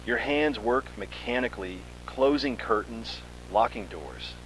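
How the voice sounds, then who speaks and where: A man's voice: medium pitch, unhurried, a bit bright, slight background noise, low-quality recording. A man reading a scripted second-person horror story in a dramatic, suspenseful manner.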